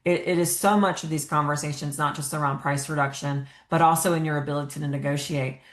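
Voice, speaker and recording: A woman's voice: low voice, steady tone, fast talker. A woman hosting a podcast interview, talking conversationally and thinking aloud with fillers. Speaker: podcast host. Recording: echoey room.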